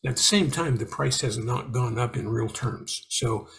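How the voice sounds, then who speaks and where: Older man, deliberate and opinionated speaker. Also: mid-range voice, fairly bright tone. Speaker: panel host and business commentator. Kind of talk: informal business discussion, extended explanation.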